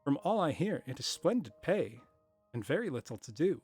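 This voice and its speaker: A man's voice: a little high, sing-song, normal speed, a little mellow, slightly rough, faint music behind. A man reading aloud, voicing an eager, persuasive young shop assistant in a slightly raised voice. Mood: coaxing.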